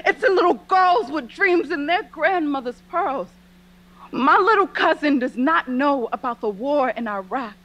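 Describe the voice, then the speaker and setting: A young woman delivering spoken word poetry in an impassioned, deliberate, dramatic way. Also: high-pitched, fairly bright tone, rough recording.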